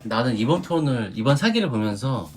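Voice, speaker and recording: A young woman co-hosting a review podcast in a very low, husky voice. She muses in a relaxed way with lively intonation, often with a light laugh. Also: fairly quick. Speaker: podcast co-host reviewing a dating show. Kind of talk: casual chat review.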